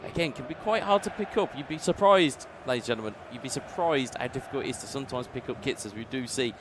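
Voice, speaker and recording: A man calls an esports football match play by play, his voice sitting at a middle pitch. He turns excitable and booming whenever a chance comes. Faint traffic noise hums in the background. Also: quick pace. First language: English. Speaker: esports commentator. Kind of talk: play-by-play commentary.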